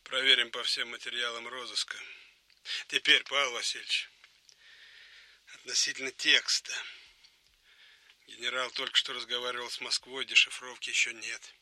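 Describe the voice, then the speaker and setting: An actor playing a composed Soviet counterintelligence lieutenant colonel giving measured, businesslike instructions over a telephone in a wartime radio drama. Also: male voice, medium pitch, little pitch movement, sharp tone, dry recording.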